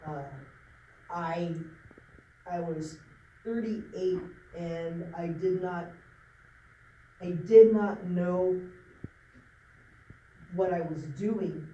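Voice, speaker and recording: A man from Nebraska speaks to a group of young people, halting and restarting with frequent ums. His voice is mellow and unhurried. It is recorded from across an echoey room with a faint hiss behind. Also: sits high, far from the mic, lo-fi sound. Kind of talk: informal talk to a group.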